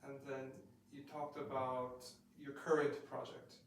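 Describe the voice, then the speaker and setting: A man's voice: medium pitch, level tone, unhurried, a little hiss or hum. Male tutor, encouraging and instructive, distant mic in an echoey room. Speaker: speaking tutor. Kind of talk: coaching feedback in practice session.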